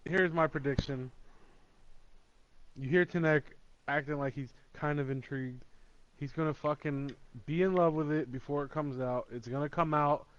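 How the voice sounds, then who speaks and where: A man's voice: on the high side, fairly even intonation, normal speed, no room sound. Male co-host, emphatic and profane, heard through a muffled lo-fi mic. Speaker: podcast co-host. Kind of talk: casual gaming podcast chat. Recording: dull lo-fi mic.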